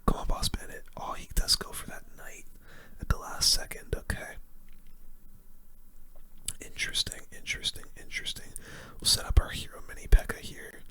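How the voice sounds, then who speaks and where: A person giving casual, slangy, mildly grumbling gaming commentary in a breathy ASMR whisper. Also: pushed and bright. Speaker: gaming video host.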